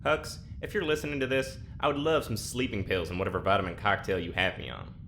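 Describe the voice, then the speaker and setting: Weary, sardonic male spaceship crewman, lowish pitch, forceful edge, audio drama delivery. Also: quick pace, slight background noise. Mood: exasperated. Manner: wry, weary complaining. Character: tired, sardonic young male diarist on a spaceship.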